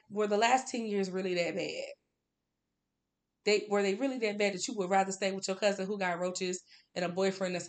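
A woman co-hosting a podcast, talking through a book in a casual, candid way and often repeating words for emphasis. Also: medium pitch. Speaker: podcast co-host.

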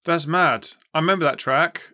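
Male interviewer, bright forceful tone, slightly high pitch, informal matey chat in short reactions. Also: moderate pace.

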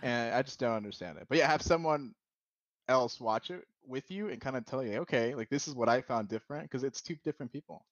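A man's voice: mid-range voice, fairly quick. A man talks about streaming in a loose, rambling way, padding his sentences with fillers. He speaks into a headset mic that sounds a bit dull and lo-fi, with no echo in the room. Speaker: podcast co-host. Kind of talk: casual chat about streaming.

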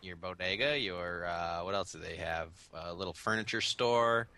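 Brief: young man, hoarse with a cold, low and bright-toned, rambling podcast co-host over a call. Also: normal speed. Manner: rambling, laid-back. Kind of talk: casual chat. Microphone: remote call over the internet.

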